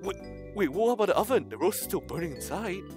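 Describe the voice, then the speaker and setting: A man's voice: sits high, lively intonation, fast, music in the background. A man streaming, reading a visual novel aloud with dramatic narration and his own commentary.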